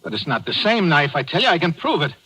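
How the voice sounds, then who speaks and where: Forceful hard-boiled American noir hero. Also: male voice, a little high, very animated, fast talker, breathy edge, heavily acted, low-quality recording. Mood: desperate, indignant. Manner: terse, tough, slangy. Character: hard-boiled American driver framed for murder. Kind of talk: 1940s radio noir narration and acting. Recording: old radio broadcast with music.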